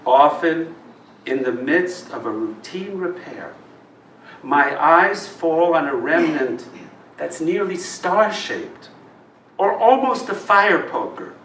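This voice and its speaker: Slow, expressive man reading poetry, distant mic. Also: a little high. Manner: deliberate, dramatic phrasing. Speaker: poet reading own work. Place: live reading in a roomy indoor venue. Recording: roomy live recording, distant mic, noisy.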